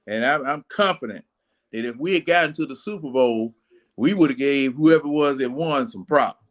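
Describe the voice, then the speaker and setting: A man's voice: medium pitch, fairly bright tone. Older man in his sixties, folksy talker. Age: 60s. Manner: rambling, folksy storytelling. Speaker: sports talk panelist. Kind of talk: casual football fan chat.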